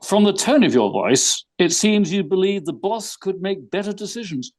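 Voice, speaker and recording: A man playing a vain gentleman detective, speaking in a pompous, formal, drily witty way. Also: sits high, lively intonation, moderate pace. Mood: pompous, dry.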